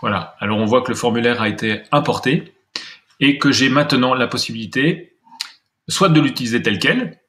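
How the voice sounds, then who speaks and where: A man's voice: mid-range voice, normal speed, fairly bright tone. A man presenting a screencast tutorial step by step, thinking aloud with some hesitation as he demonstrates.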